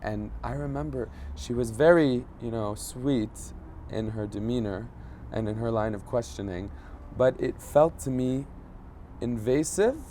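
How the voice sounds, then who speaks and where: A man in his thirties who grew up in Egypt, a journalist telling a personal story in an interview, pausing often to search for words. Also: mid-range voice, mellow, slight background noise. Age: 30s. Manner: thoughtful, searching for words, frequent fillers. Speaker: journalist guest.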